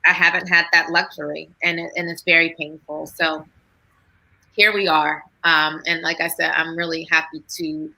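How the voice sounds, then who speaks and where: Earnest woman with a bright edge. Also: on the low side, rough recording. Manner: earnest, halting with fillers. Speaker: guest psychiatrist. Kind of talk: emotional video call talk. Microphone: video call.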